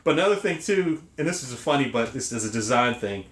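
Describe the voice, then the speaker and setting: A man's voice: mid-range voice, expressive, fast. A middle-aged man hosting a casual podcast, talking as an emphatic fan. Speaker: podcast host. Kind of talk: casual chat about an animated series. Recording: roomy echo.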